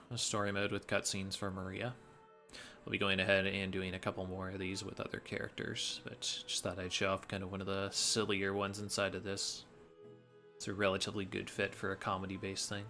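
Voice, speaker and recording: Deep male voice, forceful bright tone, explanatory speedrun commentary, faint game music behind. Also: level tone, normal speed.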